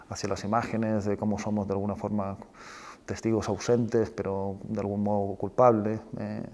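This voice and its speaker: A man with a fairly low voice speaks as a film director being interviewed. He is thoughtful and halting, feeling his way through each idea. He often closes a phrase with a short questioning tag. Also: darkish tone. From Spain and Chile. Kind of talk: reflective interview talk about own work.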